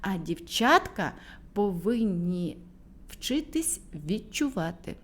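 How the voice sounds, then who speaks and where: A woman reading a fairy tale aloud for children, speaking gently and addressing them directly. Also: medium pitch, very animated, slow pace. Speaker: storyteller for children.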